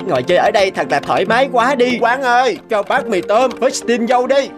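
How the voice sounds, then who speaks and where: A man voicing an eager teenage student son who loves video games, in an animated cartoon. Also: very high voice, brisk. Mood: carefree. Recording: music under the voice.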